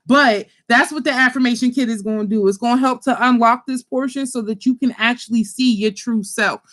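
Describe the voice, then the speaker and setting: A woman from Pittsburgh hosting a casual livestream about her spiritual kits, animated and chatty, swearing freely and calling her viewers y'all. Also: on the high side. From Pittsburgh, USA. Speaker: spiritual kit seller and channel host.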